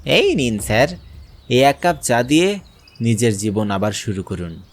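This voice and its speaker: A man's voice: mid-range voice, very animated, a little slow, slight background noise. A man playing a respectful tea seller in a horror cartoon, speaking in a solemn, deferential way. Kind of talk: dramatic horror cartoon acting.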